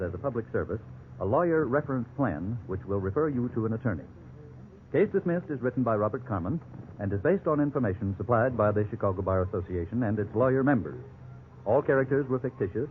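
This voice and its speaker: A man's voice: mid-range voice, slight background noise. Formal American male announcer, tinny old radio. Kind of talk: scripted 1950s radio announcing. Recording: tinny 1950s radio with faint music.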